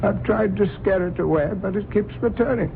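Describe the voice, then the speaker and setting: An actor playing a formal, deferential household butler in an old radio drama, polite and correct. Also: male voice, high voice, fairly quick, vocal fry. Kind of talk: 1970s radio drama acting. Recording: lo-fi old radio broadcast, faint hiss.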